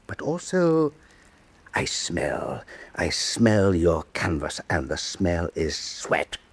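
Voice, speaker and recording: A vain Venetian statesman of a historical radio drama. His low, husky voice swoops widely as he lectures with suave pomposity and silky menace, savouring repeated words. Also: very animated, no room sound, full character voice. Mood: scornful, intense. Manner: suave, pompous, deliberate rhetorical repetition. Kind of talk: full-cast radio drama acting.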